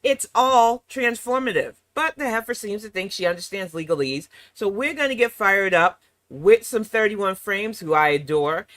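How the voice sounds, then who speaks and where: Sassy slightly hoarse woman streamer. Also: medium pitch, lively intonation, normal speed, a bit bright, no echo. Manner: sassy, mocking asides. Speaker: reaction channel host. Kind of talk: casual live-stream chat.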